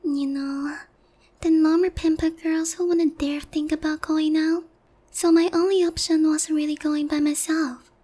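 A woman's voice: high-pitched, little pitch movement, a little slow, mellow. A woman voicing a naive, sheltered young harpy in an ASMR roleplay, speaking politely and hesitantly to one listener. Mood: wistful, confiding. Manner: timid, hesitant, polite, airheaded.

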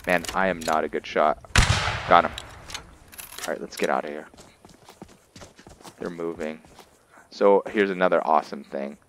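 A man's voice: low-pitched, background noise. A man giving casual commentary on his roleplay playthrough, explaining things to viewers and often answering his own questions. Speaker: gamer narrating a roleplay playthrough.

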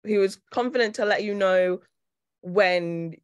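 A woman's voice: medium pitch, a little slow, clear, resonant tone, no echo. A young Yorkshire woman talks on a video call, bubbly and chatty, always close to a laugh. The sound is dry and close, with no room around her. She speaks as an actor looking back on a role. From Leeds, England. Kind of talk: casual chat in a video call Q&A. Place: indoor room at home.

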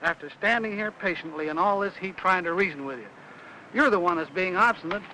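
A man's voice: high voice, a little fast, sharp tone. An older man with an American accent acting a weary, rule-bound, long-winded mail carrier in a 1950s Hollywood film. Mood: indignant, stubborn. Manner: complaining, folksy, long-winded. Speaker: actor in a feature film. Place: outdoors on a suburban street. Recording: noisy old film soundtrack.

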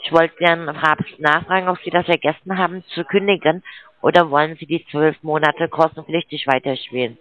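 A woman's voice: low voice, steady tone, a little fast. Pushy woman scam caller on a dull line. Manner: pushy, turns insulting. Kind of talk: phone sales call.

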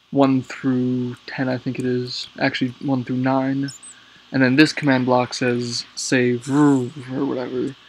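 A man casually walking through his game build, rambling and hesitant with many pauses, his voice a little raspy because he is lying down. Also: mid-range voice, a little hiss or hum, poor audio. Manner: rambling, hesitant, lots of ums.

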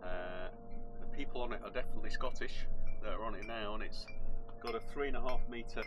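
A man's voice: on the low side, a little fast, over music. A man co-hosting a sailing vlog, chatting casually and enthusiastically, explaining boat details with jokes. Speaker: co-host sailing crew. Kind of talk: casual chat. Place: outdoors on a sailboat.

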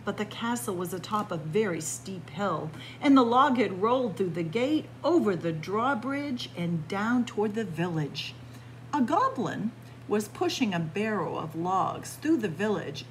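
A woman reads a picture book aloud to young children as a library storyteller. Her pitch is animated and rises and falls expressively. She sits in a library room, with clear background noise in the recording. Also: a little slow, low-quality recording. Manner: expressive, addressing young listeners as friends. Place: indoors, library room with bookshelves.

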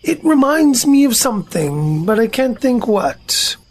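A man voicing a gentle, simple-minded young male bear who muddles long words, in an amateur family audio drama. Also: extremely high pitch, sing-song, a little slow, exaggerated voice. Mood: musing.

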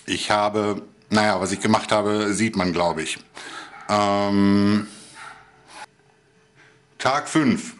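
A man in his thirties speaking hesitantly and deadpan in a video diary, recorded indoors on a camera. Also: on the low side, extremely slow, pauses often. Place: small room in a flat, indoors.

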